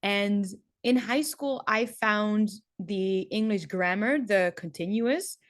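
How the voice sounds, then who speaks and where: A woman's voice: medium pitch, slow, no echo, clean studio sound. A young adult woman, a teacher, talking casually and reflectively, full of "like" and "um". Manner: chatty, loose, with frequent "like" and "um".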